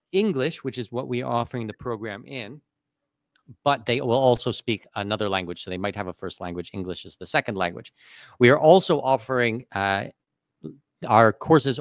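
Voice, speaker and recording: A man's voice: mid-range voice, dry recording. A man in his thirties hosting a live webinar, reading out viewer questions and answering them at length into a studio mic. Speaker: host. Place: dim home studio. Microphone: studio mic on boom arm.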